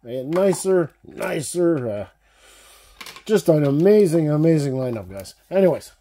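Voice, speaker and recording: A man with a mellow, relaxed voice chats enthusiastically to his viewers in a casual unboxing vlog. He has a slight cough that breaks in now and then. He sounds like he is talking at a table in a room full of shelves. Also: on the high side, unhurried. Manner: enthusiastic, chatty, addresses viewers as guys. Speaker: diecast collector channel host. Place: indoor room with shelves of toy cars.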